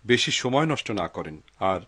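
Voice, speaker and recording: A man playing a pious, humble, gentle husband in a cartoon, with a husky voice. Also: fairly low pitch, sing-song, normal speed, heavily acted. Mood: devout. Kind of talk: dubbed cartoon acting.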